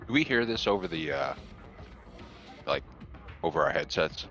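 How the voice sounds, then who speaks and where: A man's voice: low voice, lively intonation, moderate pace, pushed and bright, highs cut off. A man playing a tabletop roleplaying game, bantering casually in a blunt, sardonic way. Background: background music.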